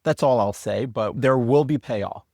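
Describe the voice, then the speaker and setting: A man's voice: mid-range voice, a little slow, creaky, a little mellow, no room sound, clean studio sound. A man speaking in an earnest, explanatory way in a conversational behind-the-scenes interview. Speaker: podcast guest, audio drama creator.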